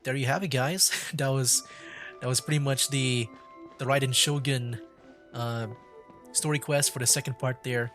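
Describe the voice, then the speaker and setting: A man streaming a video game, chatting casually about it in a rambling, halting way and often repeating himself. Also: mid-range voice, steady tone, a bit bright. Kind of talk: casual chat and game commentary. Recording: faint game music behind. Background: video game music.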